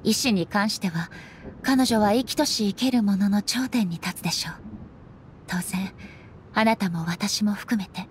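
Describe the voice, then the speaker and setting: A woman voicing a resolute warrior deity in an anime game, speaking solemnly with formal, measured phrasing. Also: close to an everyday voice. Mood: grave. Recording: faint low rumble behind voice. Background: faint rumbling noise.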